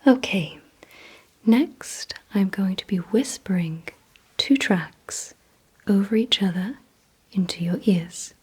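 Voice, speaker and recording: A woman doing an ASMR roleplay, mostly in a breathy whisper, giving gently commanding instructions in a deliberate manner. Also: mid-range voice, lively intonation. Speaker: ASMR creator playing a vampire giving memory and attention tests.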